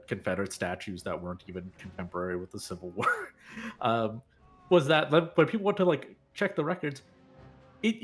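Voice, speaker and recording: A male co-commentator chats over gameplay with a lively pitch that climbs high when he is excited. He laughs easily and talks loosely. Faint music sits in the background. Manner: animated, laughs often. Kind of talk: casual let's-play commentary.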